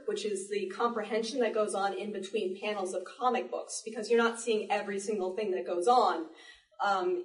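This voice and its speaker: A woman giving a live demonstration talk to an audience, explaining in an animated way with playful asides. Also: mid-range voice. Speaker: panel presenter, sound effects artist. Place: indoor library panel before an audience. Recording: echoey room, distant microphone.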